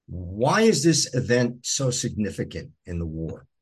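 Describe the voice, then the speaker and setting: A man's voice: on the low side, very animated, a little slow, darkish tone, slightly rough. A man hosting an interview podcast, reading formal, scripted introductions and questions. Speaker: podcast host.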